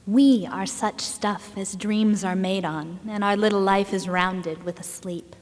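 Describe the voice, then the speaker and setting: A woman giving a solemn, deliberate dramatic recitation of poetry. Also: medium pitch. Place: large indoor hall. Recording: reverberant hall.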